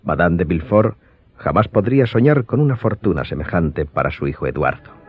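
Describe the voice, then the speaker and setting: A man's voice: sits low, lively intonation, a little fast. A man narrating a scripted radio drama as the storyteller. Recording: slightly dull audio, music behind.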